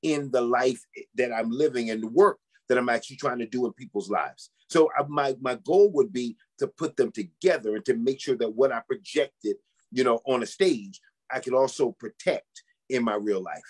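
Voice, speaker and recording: A man with a husky, mid-pitched voice talks in a reflective, conversational way. He chooses his words carefully and sounds earnest and measured. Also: a little mellow.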